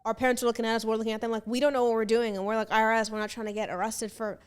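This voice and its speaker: A young woman born in Ethiopia and raised in Northern Virginia hosts a relaxed podcast chat. Her voice sits a little high, and she talks in a chatty, open way, laughing easily with her guest. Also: rapid-fire, clean tone. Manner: chatty, laughs easily, talks about being first generation. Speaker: podcast host.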